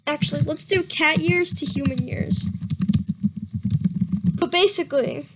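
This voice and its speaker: Young woman with a high voice reading a story slowly, snarky asides and giggles. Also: heavy background noise. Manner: sarcastic asides, frequent giggles. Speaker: reader. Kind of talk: mocking dramatic reading.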